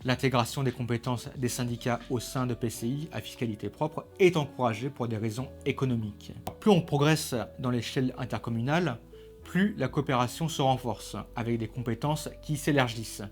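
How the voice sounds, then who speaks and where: A man's voice: mid-range voice, brisk, darkish tone. Man narrating an explainer over music. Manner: didactic, structured explaining. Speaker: presenter of an explainer video. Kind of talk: scripted educational narration. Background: background music.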